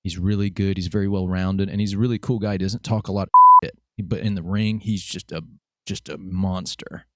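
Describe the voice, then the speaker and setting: Male podcast host, chatty and jokey, lowish voice, dry close sound. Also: animated, brisk, pushed and bright, no echo. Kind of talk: casual interview chat.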